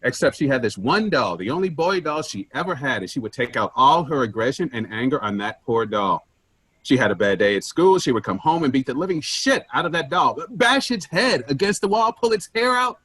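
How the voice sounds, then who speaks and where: A man playing an aggrieved, sardonic office worker with a husky voice in a staged play reading. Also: mid-range voice, lively intonation, fairly quick, fairly bright tone, no room sound.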